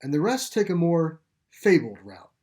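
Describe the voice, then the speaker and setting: A man reading a story plays a calm, gentle caretaker of an animal sanctuary, explaining things with assurance. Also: on the high side, moderate pace, voice pushed up. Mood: matter-of-fact. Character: calm, reassuring pipe-smoking male caretaker of animal sanctuary. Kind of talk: storybook character dialogue.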